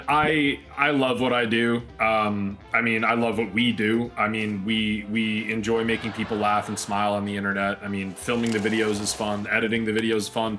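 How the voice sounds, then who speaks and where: A man's voice: on the low side, bright, forceful tone. Easygoing young man reading viewer questions. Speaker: host, video creator.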